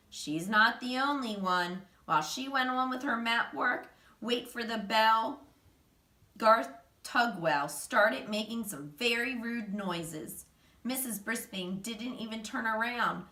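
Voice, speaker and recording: A woman reading a children's chapter book aloud in an expressive, animated storytelling manner. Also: fairly high pitch. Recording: roomy echo, distant microphone.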